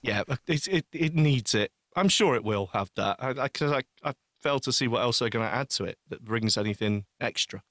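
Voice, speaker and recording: A man's voice: medium pitch, expressive, fairly quick, few pauses, a bit bright, dry recording, studio quality. A man talking casually and earnestly as a panelist on a podcast, with an emphatic way of putting things.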